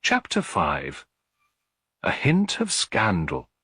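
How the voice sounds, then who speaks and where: A man's voice: deep voice, wildly swooping pitch, slow pace, sharp tone, breathy edge, no echo, clean studio sound. A man reading a classic detective story as a scripted audiobook narration.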